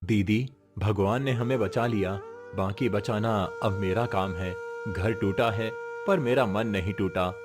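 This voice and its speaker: A man's voice: on the low side, a little slow, few pauses, music in the background, voice pushed down, natural voice. Man playing a weary, tender village father. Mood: solemn, reassuring. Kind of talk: dramatic Hindi cartoon moral story.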